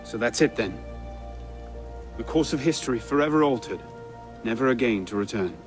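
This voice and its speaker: A man's voice: mid-range voice, animated, moderate pace, faint music behind, natural voice. An actor playing an earnest, wry young man, a romantic adventurer in a video game. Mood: wistful, tender. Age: young adult.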